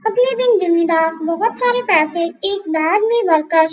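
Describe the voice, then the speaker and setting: A woman's voice: very high-pitched, a little slow. A woman narrator reading a scripted moral story for a cartoon.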